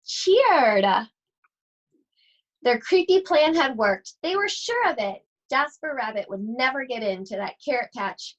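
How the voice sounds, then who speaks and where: A woman reading a children's storybook aloud expressively, with deliberate, suspenseful phrasing and drawn-out words. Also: on the high side, rough recording. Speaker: school library read-aloud host.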